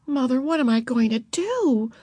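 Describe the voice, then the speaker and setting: Woman playing an earnest, high-voiced older sister. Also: steady tone, moderate pace, no echo, lightly acted. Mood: indignant.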